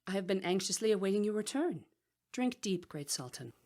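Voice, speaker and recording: Woman acting a clever young sultan's bride in a radio drama, mid pitch, polite and formal, keeps her composure. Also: expressive, fairly quick, a little mellow, lightly acted. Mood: deferential. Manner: formal, deferential.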